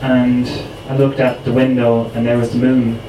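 Flat-voiced man reciting a poem. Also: mid-range voice, moderate pace, dull audio. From Ireland. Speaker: poet at open mic. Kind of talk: spoken word poetry reading. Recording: echoey room, distant mic, clear noise.

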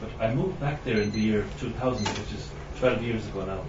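Hesitant man reading from a distance. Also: on the low side. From Ireland. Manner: halting, many ums, clears throat. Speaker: poet giving a reading. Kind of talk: hesitant spoken introduction to a poetry reading. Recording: distant mic, dull sound, roomy with noise.

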